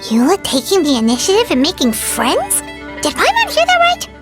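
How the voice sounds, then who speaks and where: A woman voicing a tiny, childlike fairy companion in a video game, squeaky, exclamatory, excitable and curious. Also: normal speed, heavily acted. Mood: surprised, curious. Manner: exclamatory, wide-eyed. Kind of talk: animated video game voice acting. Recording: loud music under the voice.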